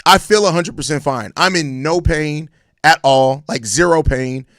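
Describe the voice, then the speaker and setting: An American man with a hoarse, raspy voice worn out from overuse, chatting casually and emphatically in slang as a solo sports podcast host. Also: on the high side, measured pace, fairly bright tone, no echo, clean studio sound. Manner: slangy, emphatic, repeats phrases. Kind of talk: casual live-stream sports chat answering viewer comments.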